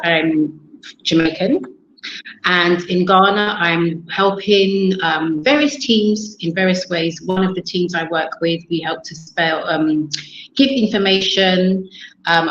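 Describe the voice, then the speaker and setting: A woman guest introducing herself on a talk show, speaking in an unhurried way with fillers. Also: lowish voice, a little hiss or hum. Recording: echoey, dull call audio.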